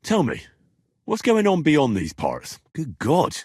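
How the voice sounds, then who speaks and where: A British actor playing an earnest, curious young blacksmith's son in a medieval video game, speaking in a plain, inquisitive and informal way. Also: male voice, on the high side, wildly swooping pitch, slow, darkish tone, husky, no room sound, studio quality, heavily acted. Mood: curious, conversational. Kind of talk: game dialogue lines.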